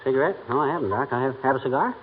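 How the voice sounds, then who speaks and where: Man playing a blustering radio husband, wildly swooping pitch. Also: racing, natural voice. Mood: folksy. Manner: blustering, folksy, animated. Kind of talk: 1940s radio comedy acting. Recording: tinny, noisy vintage radio recording with clicks.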